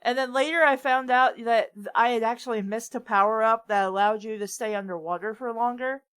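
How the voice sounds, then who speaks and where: High-voiced woman, dry close recording. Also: a little fast, fairly bright tone, clean tone, no room sound. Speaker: reader on a bad fanfiction show. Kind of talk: casual joking chat.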